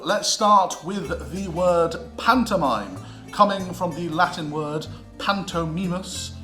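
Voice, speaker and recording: A man's voice: fairly high pitch, sharp tone. British man lecturing theatrically in an echoey room. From United Kingdom. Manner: theatrical, mock-lecturing, emphatic. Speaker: presenter of a theatre history series. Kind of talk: scripted educational narration with comic asides. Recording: roomy echo with music underneath. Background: background music with guitar.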